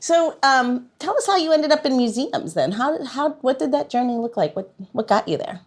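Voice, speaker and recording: A woman's voice: high-pitched, expressive, moderate pace. A woman hosting a podcast, chatting casually and putting questions to her guest. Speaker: podcast host. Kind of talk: casual chat.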